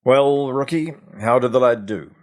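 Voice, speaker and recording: A man voicing a brisk, businesslike male company boss who takes charge in a story. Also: mid-range voice, darkish tone, pitched above the performer's own voice, natural voice.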